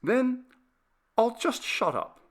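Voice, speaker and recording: A man's voice: extremely high pitch, big pitch swings, slow pace, a bit bright, voice pushed up, heavily acted. A man voicing a grave, polite, eerily composed child in a ghost story reading. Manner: polite, grave, matter-of-fact.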